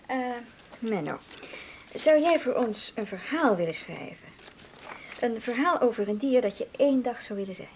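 A woman's voice: on the high side, lively intonation, normal speed, darkish tone, a little hiss or hum. An actress playing a woman psychologist in a radio drama, instructing in a patronising manner. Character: woman psychologist briskly instructing a young test subject.

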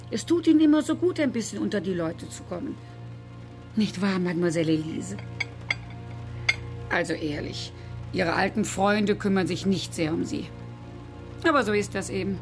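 A woman's voice: medium pitch, animated, normal speed, long pauses, close to an everyday voice. Woman acting, middle-aged carer, kindly, fussing, chattering, radio drama, mid-range voice, music behind. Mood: chatty, indignant.

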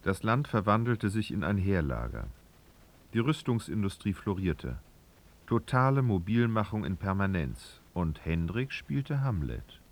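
A man narrating a literary radio drama in a husky voice, with dramatic, sardonic storytelling. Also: low-pitched, sing-song, measured pace, exaggerated voice.

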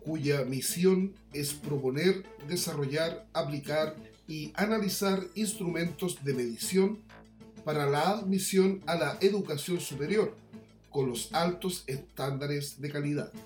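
A man's voice: fairly high pitch, a little slow, a little mellow. Echoey male news presenter. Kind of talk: scripted news reading. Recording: echoey voice over music bed.

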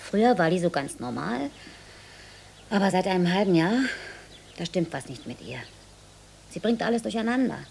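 An actress playing a worried, devout village mother who gives careful instructions in a radio drama, often in a hushed voice. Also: fairly low pitch, sing-song, normal speed, pauses often, a little hiss or hum. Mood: subdued, troubled. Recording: studio radio drama with clear background ambience.

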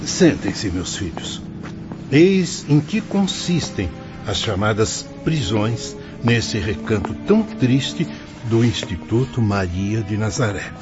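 A man's voice: on the low side, animated, takes it slowly. A man plays a solemn, kindly director of an otherworldly institute in a Brazilian radio drama. His oratory is fervent and paternal, rising and falling widely. Prominent music plays under the slightly muffled recording. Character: solemn benevolent male spirit director of an afterlife institute. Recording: dull lo-fi radio drama, loud music behind.

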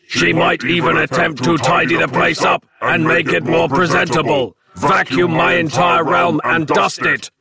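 A man playing a pompous, raging demonic typewriter villain in a comic horror story, declaiming grandly and wordily in a harsh, raspy voice. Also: on the high side, recorded from a distance. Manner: declamatory, drawn-out, grandiose delivery.

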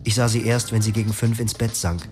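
A man's voice: on the low side, steady tone, fairly quick, music in the background, lightly acted. An actor narrating as a weary young man in a German radio drama. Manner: subdued, reflective. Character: weary young man telling his own story.

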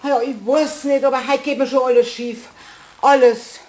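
An actress plays a hard-working Bavarian cleaning woman in a radio play. Her voice is fairly high, and she exclaims, grumbles and repeats herself in a flustered mutter. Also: level tone, normal speed, background noise, close to an everyday voice. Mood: apologetic, flustered. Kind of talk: German radio play acting.

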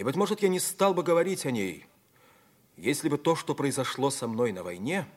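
An actor playing an earnest, reflective man narrating his own life in a 1950s radio play, with deliberate stage diction. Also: medium pitch. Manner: emphatic, deliberate stage diction with dramatic pauses. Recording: old radio recording, music underneath.

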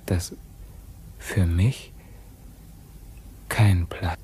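An actor in a German radio play, playing a feverish, haunted dying king with slow, declamatory delivery. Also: low voice, steady tone, dark tone, slight background noise. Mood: fading, whispering. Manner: slow, declamatory, swinging from cries to faint murmurs.

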